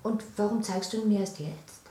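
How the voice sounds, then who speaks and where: A sensible, easily indignant young wife played by an actress in a radio drama. She speaks at a medium pitch with a firm, questioning manner. The room is echoey, with clear background noise. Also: moderate pace, mellow, natural voice. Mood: firm, reproachful. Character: well-born young English newlywed wife, sensible, indignant. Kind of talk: German radio drama acting.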